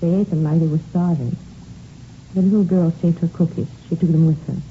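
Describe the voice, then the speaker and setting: A concerned French household cook acted by a woman in an old radio play. Her low voice has a French accent and a careful, formal politeness. The recording is muffled, with faint noise behind her. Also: steady tone, fairly quick, close to an everyday voice. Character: earnest, concerned foreign-born female household cook. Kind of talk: 1950s radio drama acting.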